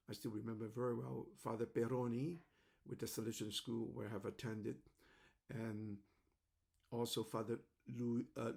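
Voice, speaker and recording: A man in his seventies from China, a native Cantonese speaker, talking to his online audience in a rambling, halting, reflective monologue. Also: mid-range voice, creaky. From China (Shanghai and Macau). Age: 70s. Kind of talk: personal video monologue.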